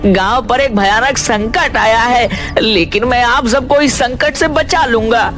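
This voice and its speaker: A man voicing a humble, clever village woodcutter in an animated fairy tale, earnest and deferential in his speech. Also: extremely high pitch, a little slow, soft background music. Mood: urgent.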